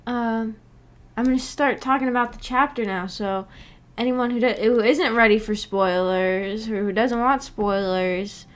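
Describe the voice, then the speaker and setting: A woman's voice: a little high, a little slow. A woman hosting a casual book club livestream, chatting with viewers in a hesitant, giggly way and repeating words. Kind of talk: casual livestream chat, reading and answering chat.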